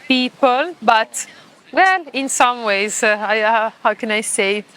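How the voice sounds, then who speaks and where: A woman's voice: fairly high pitch, expressive, slow, a bit bright. A woman in her thirties with an Italian accent, answering interview questions on a beach, hesitant and often laughing. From Italy. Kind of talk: casual street interview. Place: beach, outdoors.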